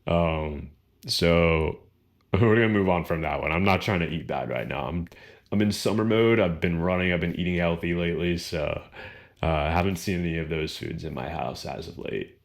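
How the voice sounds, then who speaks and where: A young man with a baritone voice answering viewer questions in a relaxed, rambling vlog chat full of fillers. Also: normal speed, creaky. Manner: relaxed, rambling, lots of fillers and small laughs. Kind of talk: casual Q&A chat.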